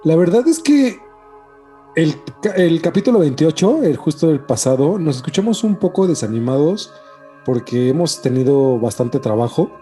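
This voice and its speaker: A man's voice: fairly high pitch, very animated, moderate pace, warm tone, soft background music. A man with a Mexican accent, hosting and chatting casually on a podcast. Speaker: podcast host. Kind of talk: casual podcast chat with announced intro.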